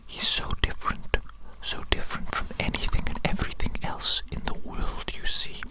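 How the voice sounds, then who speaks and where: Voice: lightly acted, rough recording. Whispering evil mad scientist, acted out for ASMR. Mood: pitying, coaxing. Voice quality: breathy unvoiced whisper throughout. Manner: slow, lingering, repeats phrases, theatrical. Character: evil mad scientist doctor, doting and sinister creator of monsters.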